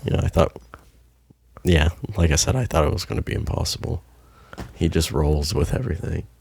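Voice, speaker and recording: A man's voice: a bass voice, expressive, moderate pace, creaky, a little mellow, breathy edge, dry recording, studio quality. A young man co-hosting a casual podcast, laid-back and swearing freely, at times reading a post aloud. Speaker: podcast co-host.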